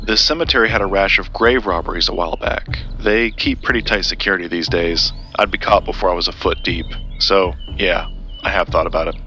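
A low, bright-edged male voice for an audio drama. He is an earnest, guarded keeper of occult secrets who lays out his tales in measured sentences, with faint music underneath. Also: highs cut off. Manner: measured, storytelling.

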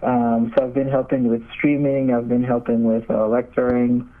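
A man's voice: mid-range voice, little pitch movement, moderate pace, phone-quality sound. A young man gives casual, hesitant interview answers as a seminarian guest over a remote call. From Nigeria. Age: young adult.

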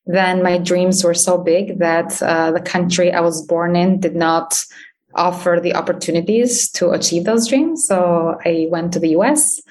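A woman's voice: fairly low pitch, fairly even intonation, measured pace. A woman in her thirties, raised in Albania, speaking as a guest in a podcast interview, with frequent fillers and light laughs. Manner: warm, many fillers, laughs while talking. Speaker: guest, startup founder. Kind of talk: interview conversation.

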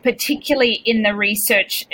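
Woman host, brisk and polite, fairly high and bright. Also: a little hiss or hum, poor audio. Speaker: interview host. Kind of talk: hosting an interview.

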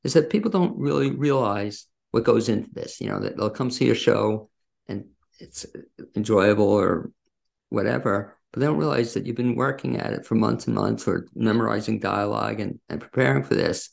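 A man's voice: fairly low pitch, normal speed, gravelly. A man hosting a casual interview, asking wordy, rambling questions in a conversational way. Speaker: interviewer, show host.

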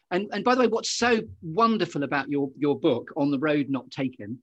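A man's voice: fairly high pitch, normal speed, a bit bright, no echo, lo-fi sound. A man hosting a podcast interview in an effusive, chatty way, with wordy, meandering asides. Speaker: podcast host.

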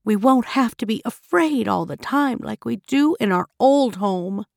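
A woman voicing a gentle mother goat, speaking sweetly and soothingly in a children's story. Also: fairly high pitch, expressive, a little slow, vocal fry, dry recording, clean studio sound. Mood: sweet, reassuring. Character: gentle, brave mother goat, reassuring her kids.

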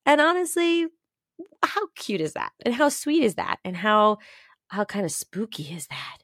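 A woman's voice: on the high side, very animated, moderate pace, a bit bright, no room sound, studio quality. A woman hosting a podcast solo, chatting casually in a gushing, emphatic, rambling way. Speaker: podcast host.

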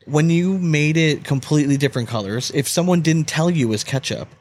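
A man co-hosting a podcast, chatting informally and excitably. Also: fairly high pitch, fairly quick. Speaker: podcast co-host. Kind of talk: casual chat, posing questions.

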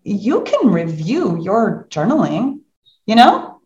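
A middle-aged woman hosting a book club as a coach, chatting casually in an animated, encouraging and affirming way. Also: mid-range voice, slow, highs cut off. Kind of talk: casual chat in a live online book club. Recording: roomy, echoing sound.

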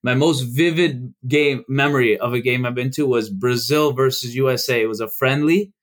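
A young man from Chicago, a podcast guest, telling stories in a casual, halting way with many restarts and fillers. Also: medium pitch. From United States.

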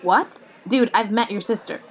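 An actress playing a brash, blunt comedian friend in a naturalistic audio drama, sarcastic, punchy and profane. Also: female voice, mid-range voice, normal speed, a little hiss or hum, natural voice. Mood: blunt, incredulous.

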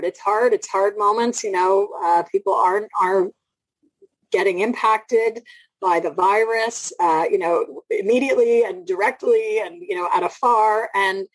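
A woman co-hosting a podcast in a conversational, reflective way with frequent asides and a slightly husky voice. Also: medium pitch, dry recording, rough recording. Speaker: podcast co-host.

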